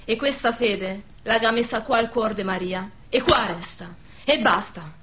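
A woman's voice: mid-range voice, unhurried, fairly bright tone, slight background noise, close to an everyday voice. A woman playing a defiant, sceptical village woman in a wartime radio drama, speaking bluntly and emphatically with a southern Lazio dialect accent. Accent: central Italian, southern Lazio dialect. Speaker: radio play actress.

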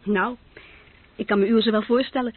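A candid young student, slightly husky. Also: female voice, fairly high pitch, fairly even intonation, normal speed, no echo. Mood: friendly, direct. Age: young adult. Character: candid, self-possessed nineteen-year-old female student. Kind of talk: radio drama dialogue. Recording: narrow-band old radio play recording, very dry.

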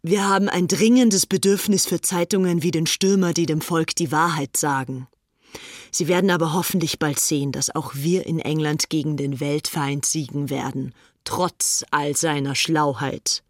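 A woman's voice: sits low, steady tone, normal speed, no room sound, clean studio sound. A woman playing a fanatical, haughty young upper-class English fascist in a dramatized reading of letters. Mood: zealous.

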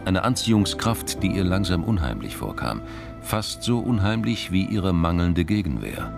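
An actor as the narrator of a crime radio play, reading literary prose in a measured, descriptive way. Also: male voice, deep voice, big pitch swings, vocal fry, music in the background, heavily acted. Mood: measured, intense. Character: male narrator of a crime story.

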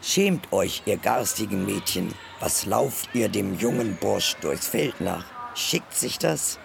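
A man reading a storybook and voicing a scolding fairy-tale village parson with a gravelly voice. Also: fairly low pitch, expressive, slow pace, background noise, full character voice. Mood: scolding, indignant.

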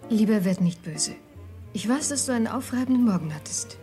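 An actress in a radio crime drama playing a perceptive, concerned wife who speaks in a soft, searching manner. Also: female voice, medium pitch, lively intonation, fairly quick, soft background music, lightly acted. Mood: concerned, probing.

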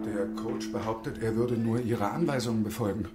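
A man's voice: fairly low pitch, steady tone, fairly quick, dark tone, soft background music, close to an everyday voice. An actor playing a bitter, sardonic unemployed engineer in his early fifties in a radio drama, wordy, pedantic and ironic. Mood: sarcastic. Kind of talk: radio drama acting with inner monologue.

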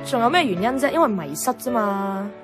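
A woman's voice: a little high, lively intonation, a little fast, soft background music, natural voice. An actress playing an outspoken, exasperated young career woman in a Cantonese radio drama, blunt and scolding, with a Hong Kong accent. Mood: sceptical, reflective. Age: young adult.

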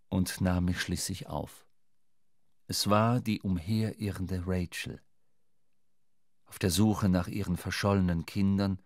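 An actor playing a sombre sailor who narrates a shipwreck in a measured, dramatic literary radio play. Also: male voice, deep voice, a little mellow, no echo, clean studio sound.

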